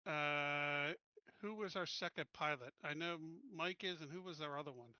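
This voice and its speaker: A man's voice: on the high side, normal speed, sharp tone, smooth, dry recording, muffled recording. A man playing a tabletop game, talking offhand and blunt with casual swearing. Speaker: player.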